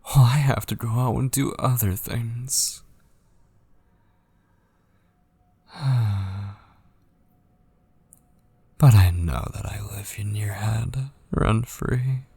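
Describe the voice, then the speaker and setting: Man acting a husky, slow-talking obsessive kidnapper. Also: medium pitch, dark tone, no room sound, full character voice. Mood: jealous, possessive. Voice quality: husky, breathy, often dropping into whispers. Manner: slow, coaxing, possessive endearments. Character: obsessive possessive male kidnapper, coaxing, menacingly devoted lover. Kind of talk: intimate ASMR roleplay, scripted dramatic monologue. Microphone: close studio mic, dry.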